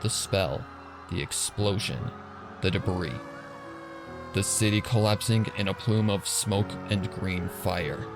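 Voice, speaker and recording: Man voicing shaken pony cop, low and hushed. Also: slow, over music. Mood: stunned grief. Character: shaken, grieving male pony police officer. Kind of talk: dramatized fan fiction audio acting.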